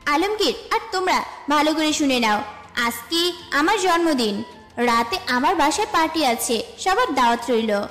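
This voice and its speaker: A woman's voice: sits high, lively intonation, normal speed, a bit bright. A woman playing an affectionate, insistent young college student in an audio story. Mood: insistent, friendly. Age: young adult. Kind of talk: dramatised audio story acting. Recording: echoey reverb with music behind.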